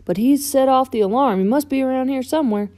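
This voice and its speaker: A woman voicing an excitable, gullible male tow truck in a children's storybook, with folksy, exclamatory talk. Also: high voice, fast, voice pushed up, lightly acted. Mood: eager, confident. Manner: exclamatory, folksy drawling colloquialisms.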